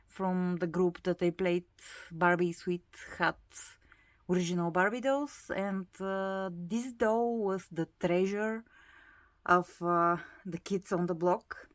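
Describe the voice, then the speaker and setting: A woman's voice: fairly low pitch, no room sound. A woman in her thirties with a Bulgarian accent, chatting casually about her doll collection, with many hesitant fillers. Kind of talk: casual hobby vlog narration.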